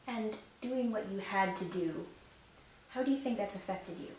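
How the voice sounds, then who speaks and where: A woman playing a probing interviewer who questions a war survivor in a measured way, in improvised fan-film dialogue. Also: medium pitch, a little mellow, lightly acted. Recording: very echoey, distant mic, faint hiss.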